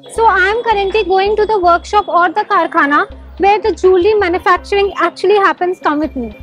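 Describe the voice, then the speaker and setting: Young indian woman, high voice, upbeat market reporter, light music under. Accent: Indian English. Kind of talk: travel vlog with voice-over narration. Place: busy street market outdoors.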